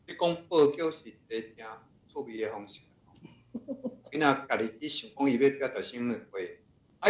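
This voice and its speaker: Taiwanese man moderating, very echoey sound. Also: medium pitch. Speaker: moderator of the class. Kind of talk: informal moderating and commentary. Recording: echoey online class audio.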